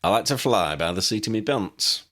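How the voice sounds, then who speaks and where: A man's voice: fairly low pitch, sing-song, moderate pace, vocal fry, fairly bright tone, pitched above the performer's own voice, heavily acted. A man voicing an eccentric, chatty old aeroplane pilot in a storybook, jaunty, rambling and folksy.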